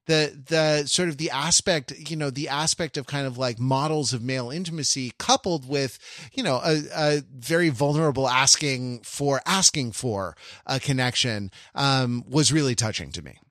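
A man co-hosting a podcast talks through his thoughts in relaxed chat, stopping and restarting as he searches for words. His voice sits at an ordinary pitch, recorded close and dry with no room around it. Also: no room sound. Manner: halting, full of restarts and fillers. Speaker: podcast co-host. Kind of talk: casual chat.

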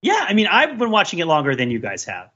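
An animated man with a bright, forceful voice chats casually as a podcast co-host. He rambles in a jokey way and circles back over his phrases. The recording is a bit dull and lo-fi. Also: sits high, quick pace, slightly rough. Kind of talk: casual pop-culture discussion.

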